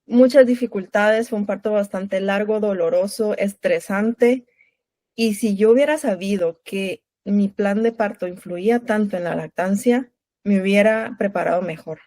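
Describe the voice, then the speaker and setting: A woman's voice: mid-range voice, a little slow, dark tone, no room sound. Guatemalan woman, chatty lactation consultant. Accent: Guatemalan Spanish. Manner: chatty, personal, frequent tag questions. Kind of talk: conversational interview.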